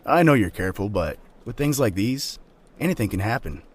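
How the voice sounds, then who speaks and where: A man's voice: fairly low pitch, sing-song, brisk, slightly rough. An actor playing a laid-back, teasing young man and loyal friend in an audio drama, casual and joking. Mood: friendly. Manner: casual, joking, swears freely.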